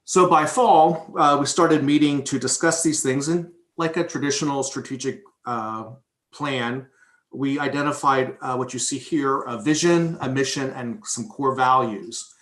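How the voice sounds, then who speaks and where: A man's voice: mid-range voice, measured pace, a bit bright. A man giving a prepared presentation as a board vice chair at a public meeting. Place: indoor room on a video conference call. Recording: roomy echo on voice.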